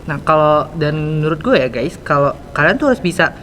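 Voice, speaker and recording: A man's voice: on the high side, sing-song, fairly quick, fairly bright tone, slight background noise. A teenage boy hosting a casual school podcast, chatty and full of slang and fillers. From Indonesia. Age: teens. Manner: slang-heavy, many fillers. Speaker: student podcast host.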